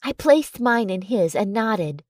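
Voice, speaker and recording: A woman narrating a first-person romance story in an expressive, dramatic storytelling way. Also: medium pitch, slow, no echo, clean studio sound. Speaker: audiobook narrator.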